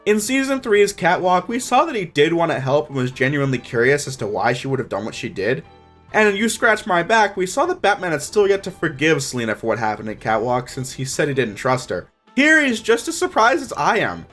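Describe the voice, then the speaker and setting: A man's voice: a little high, sing-song, a little fast, fairly bright tone, soft background music. A young man narrating a scripted but casual video review in an informal, opinionated way.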